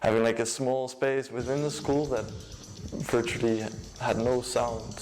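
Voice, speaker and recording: A young man with a Dutch accent, a music producer and DJ, giving a casual, low-key account of his work. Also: steady tone, unhurried. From Netherlands.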